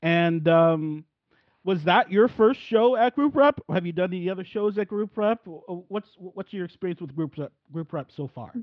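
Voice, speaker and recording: A man's voice: sits high, lively intonation, normal speed, no room sound. A man hosting an interview podcast, asking rambling questions that he restarts often. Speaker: podcast host. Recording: dry, dull lo-fi audio.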